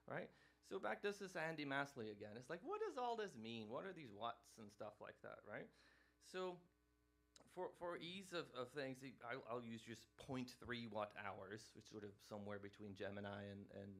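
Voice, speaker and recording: A man from Norway speaks as he lectures a live audience. His voice sits in an ordinary middle pitch. He talks casually, tosses questions to the room and ends many points with "right?". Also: vocal fry, a bit bright. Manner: conversational, keeps asking "right?" and questioning the audience.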